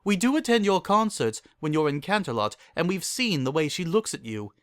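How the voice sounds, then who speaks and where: A man voicing a prim, sharp-tongued middle-aged unicorn noblewoman in a storybook, haughty and reproachful. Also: a little high, sing-song, brisk, dry recording, voice pushed up. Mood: earnest.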